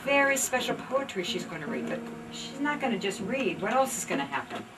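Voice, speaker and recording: A woman's voice: fairly high pitch, very animated, fairly quick. A woman introducing a live library program to an audience, explaining in short phrases and questions. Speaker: conservatory director introducing the program. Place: library event room. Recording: distant mic, loud room noise.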